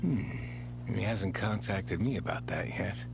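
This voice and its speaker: An actor playing a weary, dutiful sergeant major in a video game, frank and matter-of-fact. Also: male voice, fairly low pitch, expressive, measured pace, gravelly, background noise. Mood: frustrated.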